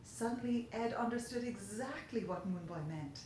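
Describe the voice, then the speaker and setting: A woman reading a children's story aloud in an expressive storytelling style. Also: on the high side, normal speed. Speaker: story reader. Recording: very echoey room, faint noise.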